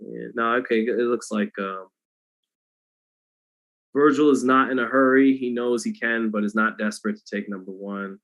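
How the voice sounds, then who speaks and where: Male boxing host on a livestream, mid-pitched, monotone, rambling and casual with the chat. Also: moderate pace. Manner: monotone delivery, self-described; loose and rambling, many restarts.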